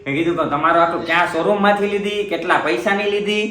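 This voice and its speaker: A man's voice: sits high, fairly even intonation, racing, bright, forceful tone, recorded from a distance. A man with a Saurashtra Gujarati accent chatting casually as a prank call host, apologetic and repeating his phrases. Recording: roomy echoing sound.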